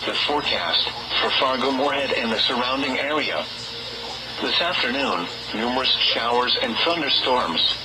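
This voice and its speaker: A man's synthetic voice reads a scripted weather bulletin in a formal, measured way over a weather radio broadcast. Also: medium pitch, lively intonation, loud music behind the voice, low-quality recording. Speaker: automated weather radio announcer.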